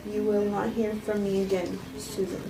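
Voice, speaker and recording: A woman reading the part of a subdued, guarded wife in a flat, unpolished table read of a film script. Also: mid-range voice, moderate pace, close to an everyday voice. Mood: somber, resolute. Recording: roomy, distant mic, clear background noise.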